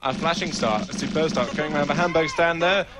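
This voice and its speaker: A man giving live play-by-play commentary on a video game race, reacting excitedly. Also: fairly high pitch, brisk, heavy background noise. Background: music.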